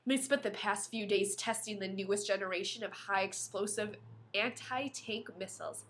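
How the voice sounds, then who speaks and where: A woman co-hosting a podcast, reading a novel aloud in an animated, playful way and breaking off for casual banter. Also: mid-range voice, normal speed. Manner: playful, dramatic, often breaks off to comment.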